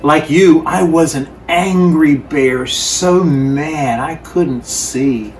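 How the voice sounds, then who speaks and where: A man reading aloud, voicing a gentle, loving father bear in a children's storybook with a tender, soothing delivery. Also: on the high side, very slow. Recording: distant mic, faint background noise.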